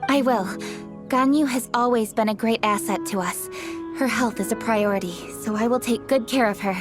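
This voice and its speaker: A woman's voice: a little high, moderate pace, music in the background, lightly acted. A woman voicing an earnest, courteous young female official in a game. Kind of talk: game voice acting.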